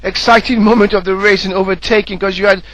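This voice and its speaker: A man's voice: high-pitched, fairly even intonation, fast talker, a bit bright, no room sound. A man co-commentating on a sim race in a casual, matey way, chatting and putting questions to others. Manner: informal, matey.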